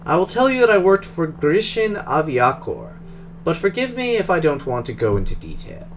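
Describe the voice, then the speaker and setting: A man voicing a polished, guarded Russian drone engineer who talks in a formal, measured, precise way, with a Russian accent. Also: on the high side, wildly swooping pitch, a little hiss or hum, pitched above the performer's own voice.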